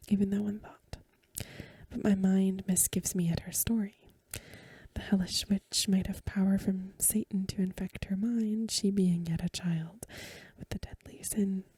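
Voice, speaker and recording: A woman voicing a stern, pious Puritan elder in solemn archaic speech, in a breathy whispered storybook reading. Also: medium pitch, warm tone, dry recording. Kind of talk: soft-spoken ASMR storybook reading.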